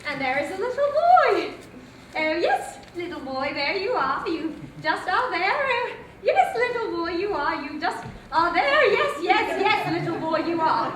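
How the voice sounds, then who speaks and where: A woman's voice: very high voice, big pitch swings, unhurried, a bit bright, a faint crowd, a little hiss or hum, heavily acted. A woman playing a country woman who speaks in a coaxing, lilting way, heard from far off in an echoey hall.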